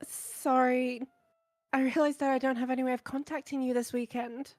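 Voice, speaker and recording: Woman voicing a shy, high-voiced anxious schoolgirl. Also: flat delivery, a little mellow, dry recording, soft background music, natural voice. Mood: nervous, hesitant. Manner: hesitant, halting. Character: shy, anxious, soft-spoken teenage schoolgirl. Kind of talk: visual novel voice acting.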